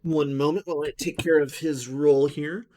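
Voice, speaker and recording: Dungeon master man, easygoing halting narration. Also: fairly high pitch. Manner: relaxed, halting, with many fillers. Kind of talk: narrating a tabletop game.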